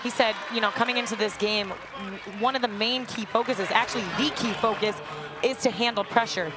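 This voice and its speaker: A woman's voice: fairly high pitch, fairly even intonation, fairly quick. A woman working as color commentator, giving live analysis of a basketball game. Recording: loud crowd and music behind. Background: basketball crowd, music and bouncing.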